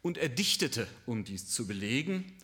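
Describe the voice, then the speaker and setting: An older man lectures at a moderate, middle pitch, measured and dryly ironic. He now and then stumbles on filler sounds before picking up his point again. His tone stays formal and academic. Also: very animated, pushed and bright. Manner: halting with fillers at times. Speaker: professor of Chinese studies.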